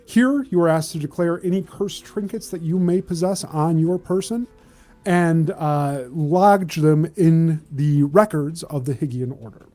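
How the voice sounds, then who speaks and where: A man's voice: fairly high pitch, unhurried, warm tone. Game master, man, raised register, improvised tabletop narration with light music behind.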